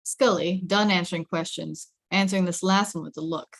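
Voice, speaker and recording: A woman's voice: lowish voice, fairly even intonation, normal speed, gravelly. A woman narrating the scene and camera directions of a script at a table read. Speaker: stage directions reader.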